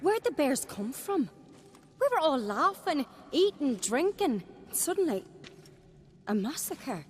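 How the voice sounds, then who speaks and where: A woman's voice: high-pitched, big pitch swings, slow, slight background noise, full character voice. An actress playing a level-headed, headstrong young clanswoman in a video game, speaking earnestly and firmly. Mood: shaken, vivid. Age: young adult. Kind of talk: dramatic video game acting.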